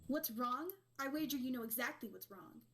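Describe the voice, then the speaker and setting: Woman acting a defiant, sharp-tongued captive woman. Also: high voice, expressive, moderate pace, gravelly, faint music behind, heavily acted. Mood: scornful. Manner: haughty, sarcastic insults.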